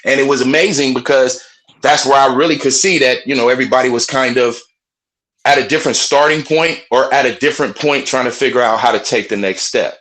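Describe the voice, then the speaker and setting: A man with a strong, loud voice, speaking passionately on a group phone call. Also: medium pitch, a little fast. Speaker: webinar participant. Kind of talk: casual group call talk.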